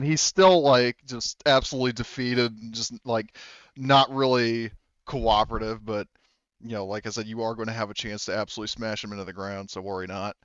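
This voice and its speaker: A man's voice: mid-range voice, a bit bright, clean tone, no echo, muffled recording. A man speaking as game master, narrating a tabletop roleplaying game casually and informally, sometimes swearing.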